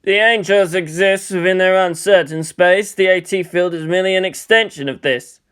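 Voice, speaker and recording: A man's voice: high voice, moderate pace, a bit bright, clear, resonant tone. Man playing a bitter, muttering scientist. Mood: dry. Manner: muttering, technical. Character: bitter, galled male scientist, resigned and dry.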